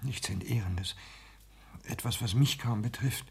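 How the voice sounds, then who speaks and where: A man's voice: medium pitch, unhurried, vocal fry, slightly rough, full character voice. An actor playing a meek, frail man seeking lodgings, speaking hesitantly and formally in a German radio play. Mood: embarrassed, confiding. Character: timid, frail, earnest man lodging, secretly lovestruck. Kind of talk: 1960s German radio drama acting. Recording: hissy 1960s radio play recording.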